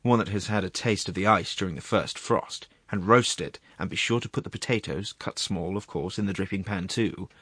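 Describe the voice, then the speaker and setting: A man's voice: low voice, a little fast, few pauses, a bit bright, breathy edge, no echo, clean studio sound. A man voicing a wheedling, gluttonous court secretary in a storybook reading, savouring his words in a confiding, coaxing way. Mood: rapturous.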